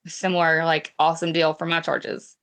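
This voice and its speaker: A woman's voice: low-pitched, little pitch movement, moderate pace, a bit bright. A woman from Mississippi with a Southern accent, a reality TV guest talking candidly and conversationally in an interview, with frequent restarts. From Mississippi, USA. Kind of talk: casual interview chat.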